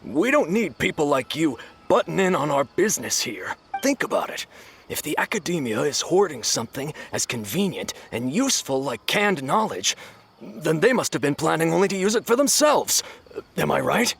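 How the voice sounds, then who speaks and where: Gravelly surly buyer, lively swinging pitch, gruff, argumentative, game character. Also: male voice, normal speed, exaggerated voice. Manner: gruff, argumentative, casual slang.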